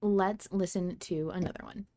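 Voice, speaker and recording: Casual woman podcast host, slightly low voice. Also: moderate pace. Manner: animated, swears freely. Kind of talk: casual reactive podcast chat.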